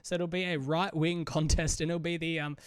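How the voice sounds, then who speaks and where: A man's voice: on the high side, level tone, fairly quick, clear, resonant tone, dry recording, clean studio sound. A young man hosting a solo politics podcast, chatty and full of restarts, with a cold that makes him sound a bit different. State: has a cold. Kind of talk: casual solo commentary.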